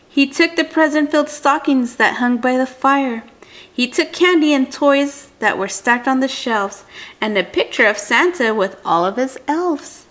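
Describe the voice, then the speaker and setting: A woman with a fairly high voice reads a children's storybook aloud. She takes her time, phrasing each line deliberately and with drama, as if for a young child listening. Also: a bit bright. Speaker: adult reading a picture book aloud to a child.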